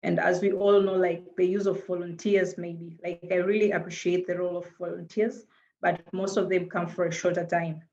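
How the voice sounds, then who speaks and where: A woman from Tanzania with a Tanzanian accent speaks over a dull, lo-fi call line. Her voice sits low and stays quite flat in pitch. She talks earnestly as a panelist and restarts often. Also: moderate pace, a little hiss or hum. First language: Swahili. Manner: earnest, hesitant with frequent repeated words and fillers. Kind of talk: panel discussion answer.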